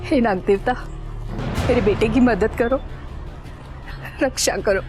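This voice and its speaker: A devout, anxious middle-aged mother played by an actress. Her voice is fairly high, imploring and stubborn, in TV drama style over prominent music. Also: measured pace, lightly acted. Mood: praying. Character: devout, superstitious, anxious mother of an ailing son. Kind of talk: Hindi TV crime serial acting. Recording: loud background music.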